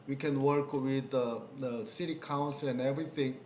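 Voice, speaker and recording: A middle-aged man with a Korean accent, a pastor telling stories in a halting way with many fillers and laughs. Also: mid-range voice, steady tone, darkish tone, a little hiss or hum. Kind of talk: casual conversational storytelling. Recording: roomy, dull video-call audio.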